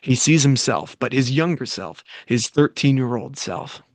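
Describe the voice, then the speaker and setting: Gravelly male stage direction reader. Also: medium pitch, expressive, no echo. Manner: plain, quick read with slight stumbles. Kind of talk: reading screenplay directions.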